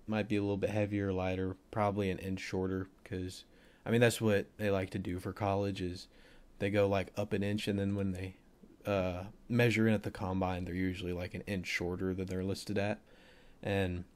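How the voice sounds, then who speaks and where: A young man with a cold and a voice deeper than usual, casually rambling through a football film breakdown with plenty of fillers. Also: flat delivery, moderate pace, clear, resonant tone. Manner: rambling, offhand, thinks aloud with many fillers.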